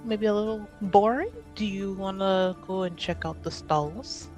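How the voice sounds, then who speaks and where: A woman's voice: mid-range voice, a little slow, a little mellow, faint music behind, lightly acted. A woman voicing a polite, considerate adventurer in a tabletop fantasy game. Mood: gently inviting.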